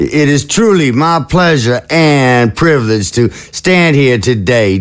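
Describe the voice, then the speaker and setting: A man playing a stately veteran president giving a deliberate, oratorical political speech in an audio drama. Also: on the high side.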